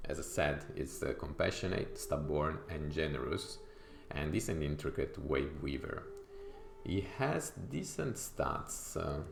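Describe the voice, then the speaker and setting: A very low, gravelly male voice with a clear Italian accent, talking a game through step by step. He explains his choices patiently, pausing on fillers as he thinks. The sound is roomy with a faint hiss behind it. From Sicily, Italy. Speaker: gaming YouTuber. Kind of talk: casual gameplay commentary. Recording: echoey room with faint noise.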